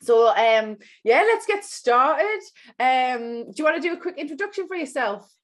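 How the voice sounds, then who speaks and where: A woman hosting a casual chat podcast, upbeat and eager, with quick asides to her guest and listeners. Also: high voice, expressive. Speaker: podcast host.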